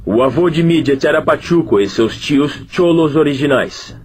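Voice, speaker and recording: A man giving scripted documentary narration in an even, measured delivery. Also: fairly high pitch, over loud music.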